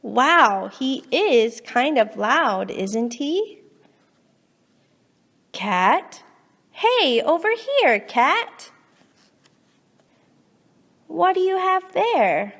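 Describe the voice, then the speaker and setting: A woman's voice: high voice, very slow, pauses often. A woman reading a children's picture book aloud expressively, coaxing and reacting as if chatting with the character. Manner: animated, coaxing, exaggerated reactions. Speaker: children's storybook reader. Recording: long hall-like reverb.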